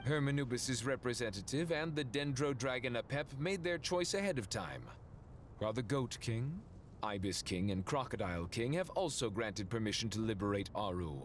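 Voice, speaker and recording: An actor playing a sly, haughty royal saint in a video game, speaking in a grandiose, formal way. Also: male voice, medium pitch, animated, normal speed, slight background noise, natural voice. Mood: ceremonial. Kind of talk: theatrical video game acting. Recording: faint game sound behind voice.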